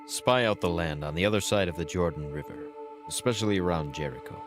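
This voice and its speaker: A stern Israelite war leader, played by a man in a Bible audio drama. His commanding voice is very low. Music plays clearly behind him. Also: a little fast, natural voice.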